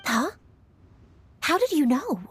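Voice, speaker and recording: A woman's voice: sits high, sing-song, takes it slowly, slight background noise, heavily acted. A woman playing a poised, diligent young female official in a video game, speaking politely and articulately. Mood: informative.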